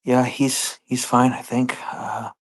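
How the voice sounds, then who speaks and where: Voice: medium pitch, monotone, takes it slowly. A player in a tabletop roleplaying game, speaking in character in conversation.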